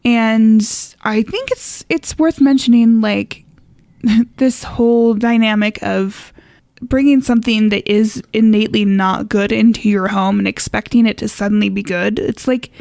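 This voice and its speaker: A woman's voice: mid-range voice, vocal fry, a little mellow, dry recording, studio quality. A woman co-hosting a podcast in casual chat, speaking informally and wryly, often with a chuckle in her words. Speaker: podcast co-host.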